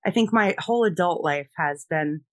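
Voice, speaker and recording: A woman's voice: fairly low pitch, moderate pace, dry recording. A middle-aged woman from Rhode Island, a military spouse and veteran, chatting as a podcast guest in a friendly way with frequent fillers. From Rhode Island, USA. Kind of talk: conversational podcast interview.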